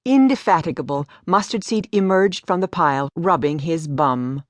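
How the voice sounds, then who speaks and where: A woman's voice: deep voice, expressive, normal speed, no echo, studio quality. A woman giving a scripted story narration as an audiobook narrator. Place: dry studio.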